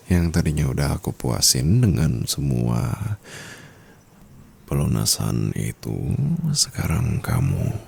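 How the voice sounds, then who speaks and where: Rich, dominant sugar-daddy husband , played by a man for romantic ASMR roleplay: slow, husky, dark murmur, teasing and doting. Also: very deep voice, slight background noise, exaggerated voice. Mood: seductive, commanding. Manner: slow breathy murmur with soft chuckles, teasing.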